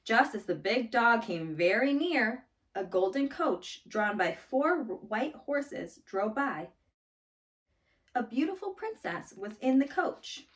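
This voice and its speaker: A woman reading a children's story aloud in an expressive, dramatic storytelling manner. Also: a little high, slow pace, far from the mic. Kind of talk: scripted storybook read-aloud.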